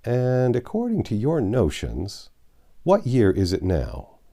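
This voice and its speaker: A man's voice: medium pitch, huge pitch swings, slow, mellow, heavily acted. A man voicing a bewildered, practical Yankee stranger in a storybook, with a folksy, questioning manner. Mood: anxious, pleading.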